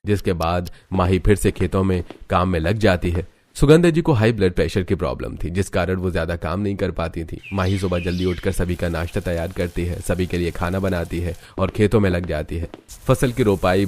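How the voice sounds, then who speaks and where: A man's voice: low voice, moderate pace, darkish tone, slight background noise. A man reading scripted story narration for a cartoon.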